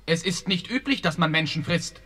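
A man's voice: fairly high pitch, lively intonation, moderate pace, pushed and bright. A man playing an earnest young prince. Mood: resolute. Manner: earnest, polite and resolute. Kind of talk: German fairy-tale radio play.

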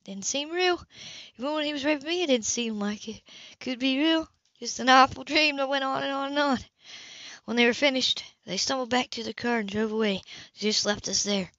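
A woman voicing a tough, wounded woman of twenty-eight in an audiobook, quietly and matter-of-factly recounting. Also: high-pitched, brisk, no echo. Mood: bitter, controlled. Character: tough, wounded 28-year-old woman, controlled and unflinching. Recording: slightly dull home recording.

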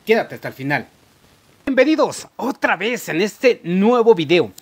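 A middle-aged man, chatting casually to camera in a halting, repetitive way as a vlogger. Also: sits high, sing-song, slightly rough. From Guatemala. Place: indoor room at home.

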